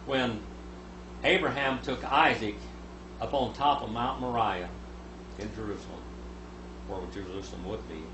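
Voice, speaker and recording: Man in his seventies, Bible teacher, echoey small room, steady hum in the background. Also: lowish voice, measured pace, long pauses. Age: 70s. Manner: reads scripture aloud, rising loud for quoted speakers.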